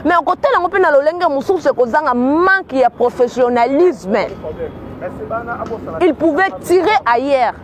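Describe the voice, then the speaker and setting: A woman's voice: high voice, animated, normal speed, a crowd in the background. A woman from Kinshasa with a Congolese accent giving earnest spoken commentary, often repeating words as she searches for them. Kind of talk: spoken commentary mixing Lingala and French.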